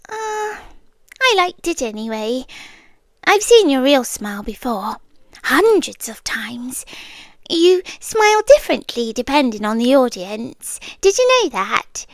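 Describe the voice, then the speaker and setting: A woman playing a soft-spoken obsessive girlfriend. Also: high-pitched, no room sound, heavily acted. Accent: British. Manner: soft-spoken, drifting into whispers, gently unsettling. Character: obsessive young woman girlfriend, calm, doting and possessive. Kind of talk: ASMR roleplay.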